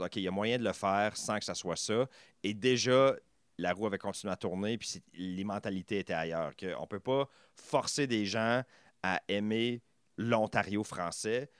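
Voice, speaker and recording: A Franco-Ontarian man in his thirties talks in a low voice as a podcast guest. He chats loosely, trailing off and restarting with fillers. The sound is dry and close, with no room around it. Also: a bit bright, clean tone, no room sound. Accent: Franco-Ontarian French. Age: 30s. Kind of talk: casual conversation.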